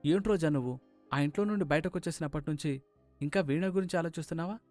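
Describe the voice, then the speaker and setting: A man voicing a hurt, earnest young husband in an animated moral story, sounding aggrieved. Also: fairly high pitch, fast talker, soft background music, natural voice. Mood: aggrieved, determined.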